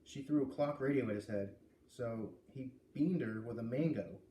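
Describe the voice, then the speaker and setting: A tough, laid-back teenage girl from a storybook , put on by a man reading aloud. The delivery is offhand and slangy with a mellow tone, in a roomy recording. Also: medium pitch, normal speed, close to an everyday voice. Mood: troubled, slangy. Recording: roomy, distant mic with light noise.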